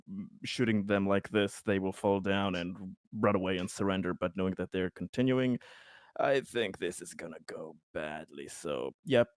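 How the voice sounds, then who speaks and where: A man's voice: deep voice, dry recording, clean studio sound. A man playing in a tabletop game, explaining his moves hesitantly, with frequent restarts and laughs. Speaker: player. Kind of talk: tabletop game play over voice chat.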